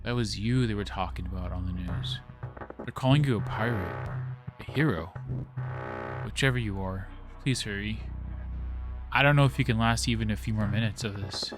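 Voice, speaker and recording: A man playing an excitable, irreverent adventurer in a sci-fi radio drama, casual and animated. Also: on the low side, moderate pace, pauses often, over music, close to an everyday voice. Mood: surprised, relieved.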